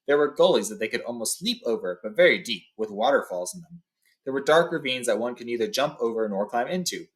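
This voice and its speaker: A man hosting a book read-along, informally telling and reading a story aloud. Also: medium pitch.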